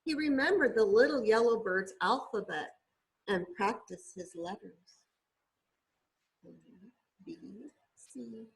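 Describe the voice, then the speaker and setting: A woman reading a storybook aloud to young children in a deliberate, teacherly way, sounding words out carefully. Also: a little high, poor audio. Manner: deliberate, spells words out letter by letter.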